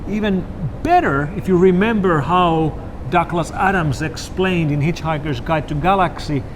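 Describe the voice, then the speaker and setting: Guest, finnish man with an accent, slightly high, animated, loud traffic behind. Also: normal speed. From Finland. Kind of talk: conversational interview.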